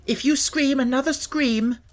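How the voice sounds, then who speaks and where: Furious high-pitched savage little girl. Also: measured pace. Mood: fierce, scolding. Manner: shouting, stamping, defiant.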